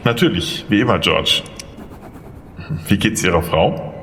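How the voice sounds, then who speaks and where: A man's voice: low voice, a little slow, a bit bright, slight background noise. A man acting a polite, slightly flustered young lawyer in an audio drama. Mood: friendly.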